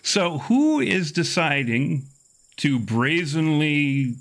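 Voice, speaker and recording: A man running a tabletop horror game, narrating in a wry, wordy, deliberate way with dramatic pauses. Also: on the high side, expressive, fairly bright tone. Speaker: game master.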